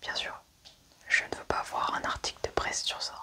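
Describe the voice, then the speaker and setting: Whispering French-language ASMR roleplayer. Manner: soft whispering, unhurried.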